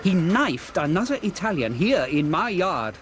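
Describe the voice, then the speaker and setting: An actor playing a courteous but exasperated German factory owner in a radio drama, with a formal, precise, emphatic manner and a German accent. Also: male voice, sits high, sing-song, unhurried, a bit bright, full character voice. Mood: indignant.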